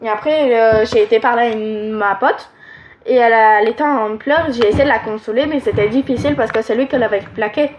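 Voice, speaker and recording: A woman's voice: on the high side, a little fast, roomy sound, lo-fi sound, slight background noise. A teenage girl giving frank, rambling relationship advice, with a nasal, stuffed-up voice and lots of fillers. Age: teens. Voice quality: nasal from allergies. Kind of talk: casual advice monologue.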